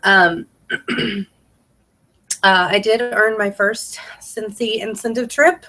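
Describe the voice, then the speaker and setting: A woman vlogger talks in a casual, bubbly way with a mid-pitched voice. She sounds a little stuffed up from allergies. Her delivery is enthusiastic and wandering, as if chatting to her sales team in a training video. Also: fairly even intonation, slow, sharp tone, poor audio. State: slightly congested from allergies.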